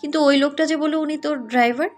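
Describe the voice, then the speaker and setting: A woman's voice: high-pitched, steady tone, fast talker, faint music behind, lightly acted. A woman playing a pert, nosy young female college student in an audio story, with a questioning manner. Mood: suspicious, teasing. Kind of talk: dramatized audio story acting.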